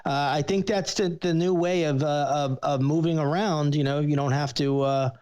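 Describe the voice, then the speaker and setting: A man's voice: a little high, steady tone, normal speed, a little mellow, clean tone, highs cut off. A man speaking as an analyst on a show, giving conversational, explanatory commentary. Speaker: market analyst, regular guest.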